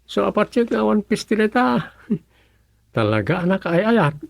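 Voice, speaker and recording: A man's voice: sits high, big pitch swings, measured pace, creaky, heavily acted. A Filipino man playing a good-humored village councilor in a radio comedy drama. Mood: amused, easygoing.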